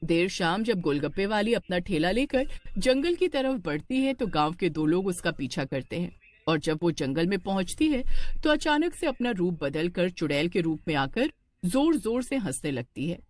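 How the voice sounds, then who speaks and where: A woman's voice: fairly low pitch, very animated, normal speed, no room sound. A woman narrating a scripted horror story for a cartoon.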